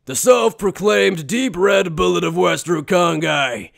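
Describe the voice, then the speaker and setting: A brash, big-hearted anime gang boss acted by a man blusters in a fairly high voice. The delivery is loud and informal, with a rough creak in it. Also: unhurried, full character voice. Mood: boastful. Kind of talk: anime fan dub acting.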